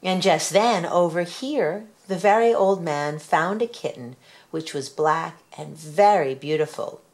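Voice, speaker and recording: A woman reading a children's story aloud, with expressive, deliberate phrasing. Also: on the low side. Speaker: storybook reader.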